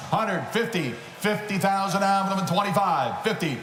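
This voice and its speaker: A man working as an auctioneer, calling bids in a rapid rhythmic chant and shouting the numbers. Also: high-pitched, animated. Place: large auction hall. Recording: noisy reverberant hall.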